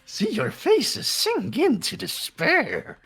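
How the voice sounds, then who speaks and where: A man voicing a gleeful, sadistic mascot villain for a game, with theatrical, drawn-out taunts. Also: very high-pitched, huge pitch swings, gravelly, a bit bright, breathy edge, faint music behind, pitched above the performer's own voice, heavily acted. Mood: gleeful menace.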